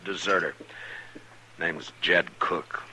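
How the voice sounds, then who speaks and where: An American actor playing a stoic, plain-spoken frontier marshal in a 1950s Western radio drama, measured and matter-of-fact. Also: male voice, low voice, steady tone, pushed and bright, a little hiss or hum, exaggerated voice, rough recording. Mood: easy, matter-of-fact.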